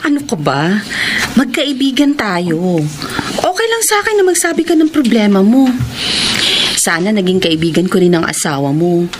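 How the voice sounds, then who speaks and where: A reassuring female friend, voiced by a woman in an old-style radio drama. Her voice moves lively and gently. Steady noise sits under the broadcast. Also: medium pitch, normal speed, pauses often, close to an everyday voice. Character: supportive female friend, shop owner. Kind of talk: classic radio drama acting.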